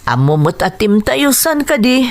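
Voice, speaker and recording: A loyal friend cheering on a boxer in a radio drama. The voice sits at a middle pitch. The delivery is emphatic, expressive and full of encouragement. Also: female voice, unhurried. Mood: supportive. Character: supportive, encouraging friend of a boxer, eager and reassuring. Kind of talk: radio drama dialogue in Ilocano.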